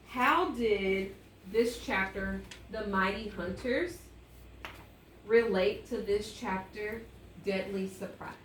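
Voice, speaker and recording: Woman teacher in an echoey, distant classroom recording. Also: a little high. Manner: instructive, questioning, pauses to prompt children. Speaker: elementary school teacher. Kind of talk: interactive read-aloud to a class. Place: indoor classroom. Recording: echoey classroom, distant mic, faint noise.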